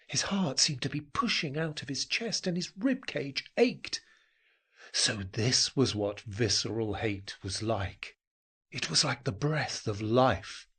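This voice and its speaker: Male audio drama narrator, medium pitch, slow n intense, slightly raspy. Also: fairly bright tone. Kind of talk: dramatic scripted story narration.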